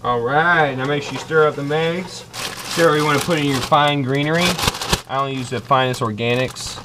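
A man's voice: medium pitch, fairly even intonation, brisk, very noisy. A young man in his twenties, brash and boastful, hosting a comedic cooking show from a small kitchen. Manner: brash, boastful, loud whoops.

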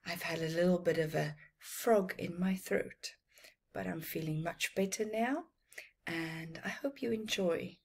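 A middle-aged South African woman, a native Afrikaans speaker, reads aloud for children. Her delivery is very slow and deliberate, with lively swings in pitch and teacherly emphasis. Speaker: children's storyteller. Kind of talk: expressive read-aloud storytelling to children. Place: small plain room at home, indoors.

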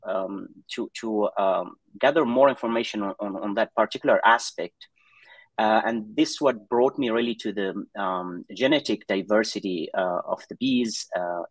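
Hesitant low-voiced man from Syria, dry sound. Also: dry recording, rough recording. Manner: hesitant, lots of uh and um, restarts. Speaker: guest scientist. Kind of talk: interview answers. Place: home room with bookshelves, indoors. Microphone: video call.

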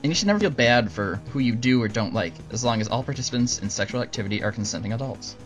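A man reads scripted answers as a show host at a brisk clip. His delivery is dry and deadpan, with music playing underneath. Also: mid-range voice. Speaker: host answering viewer questions. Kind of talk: scripted Q&A narration with deadpan jokes.

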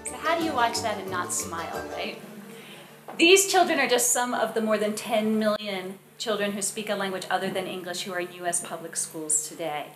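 A woman's voice: mid-range voice, over music. Woman lecturing at a podium in a roomy hall. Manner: friendly, measured speaking with fillers. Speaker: education researcher and presenter. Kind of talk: public lecture. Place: wood-panelled lecture hall. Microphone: podium microphone.

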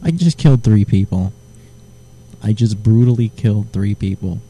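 A man with a very dark, mellow voice placing a prank call. He talks low and deadpan, baiting the person on the line. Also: normal speed, slight background noise, poor audio. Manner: deadpan, provocative. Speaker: prank caller.

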